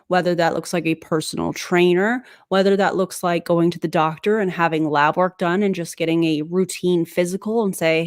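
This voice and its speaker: A woman with a fairly low voice talks through a livestream commentary. She is blunt and skeptical, with sarcastic asides. The sound is dry and close. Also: dry recording. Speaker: anti-MLM commentator reacting to a clip.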